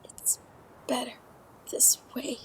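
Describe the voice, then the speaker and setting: Woman as a slow, whispery, fading gentle pony. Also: lightly acted. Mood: weak, tender. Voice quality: breathy, half-whispered. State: weak, fading. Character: Mist, gentle dying female pony, tender and resigned. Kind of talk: fan audio drama acting. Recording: music behind.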